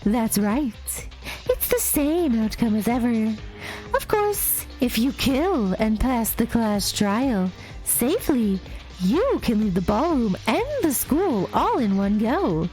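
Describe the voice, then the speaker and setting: A woman doing a high, sing-song taunting bear mascot. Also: very animated, darkish tone, faint music behind, rough recording. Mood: gleeful taunting. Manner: sing-song, teasing, drawn-out delivery. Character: mischievous male bear mascot, gleefully cruel. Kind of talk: voicing a game's dialogue aloud.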